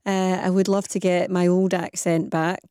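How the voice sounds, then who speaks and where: English woman host, mellow dry voice. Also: lowish voice, level tone, normal speed, clean tone, dry recording. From England. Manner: curious, conversational, reads listener messages. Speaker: radio host. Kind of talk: radio phone-in interview.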